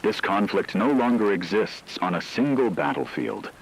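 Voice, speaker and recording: A man playing a grave war analyst in a scripted emergency radio broadcast, measured, formal and ominous, over a noisy, rain-like hiss. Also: fairly low pitch, creaky. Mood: grave, foreboding. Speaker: news correspondent.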